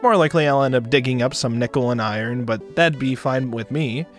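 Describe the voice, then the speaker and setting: A man plays a wry, broke shuttle passenger in a visual novel, laid-back and casual. The voice is mid-pitched and dry-humoured, with faint music behind it. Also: a little fast, lightly acted. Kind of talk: visual novel character reading.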